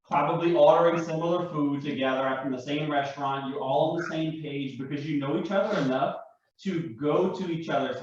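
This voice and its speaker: A man in an unscripted panel discussion, explaining his view in a halting, reflective way. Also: a little high, slight background noise. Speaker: panel guest and larper. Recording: echoey, distant, dull microphone.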